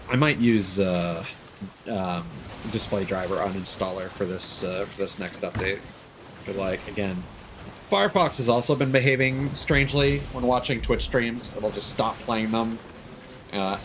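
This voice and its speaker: A man's voice: fairly low pitch, a little hiss or hum. A man giving casual live commentary as a video game streamer, grumbling and swearing in exasperation as he talks.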